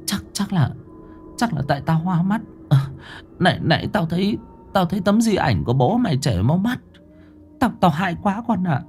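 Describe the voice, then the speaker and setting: A man's voice: on the high side, sing-song, unhurried, long pauses, soft background music, pitched above the performer's own voice. A man voicing a nervous elderly widowed mother, who stammers and exclaims. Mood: shaken.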